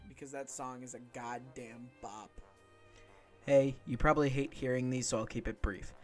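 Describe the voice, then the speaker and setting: A man's voice: mid-range voice, fairly even intonation, moderate pace. A man hosting a gaming channel, talking in a casual, conversational way. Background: loud music.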